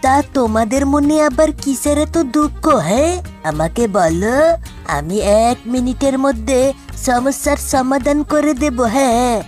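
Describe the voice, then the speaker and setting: A woman's voice: high-pitched, unhurried, darkish tone. A woman voicing a cocksure, cheeky male fox villager in a cartoon, boastful and sing-song in manner. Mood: cheeky, confident. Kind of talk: cartoon voice acting. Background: background music.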